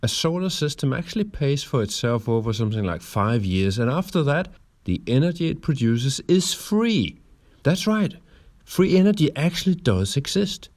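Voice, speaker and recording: A man from Denmark with a Danish accent, presenting persuasively and emphatically in measured phrases, speaking straight to the listener. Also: medium pitch, sing-song, a little mellow.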